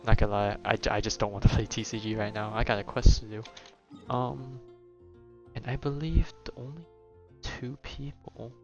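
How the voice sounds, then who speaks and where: A man streams a video game with casual commentary. His voice is fairly low and he rambles in a laid-back way, with soft music behind him. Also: steady tone, normal speed, vocal fry, lo-fi sound. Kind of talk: casual live gameplay commentary.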